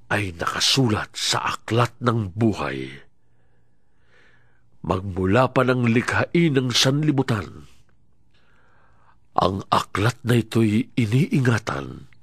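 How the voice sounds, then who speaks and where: A man narrating a Bible audio drama in a solemn, deliberate way, with dramatic swells in his delivery. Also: medium pitch, a bit bright, no echo, clean studio sound. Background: music.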